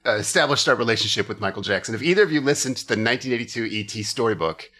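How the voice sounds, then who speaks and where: A man's voice: medium pitch, expressive, racing, sharp tone. A man hosting a comedy podcast, telling stories casually with wry, profane jokes.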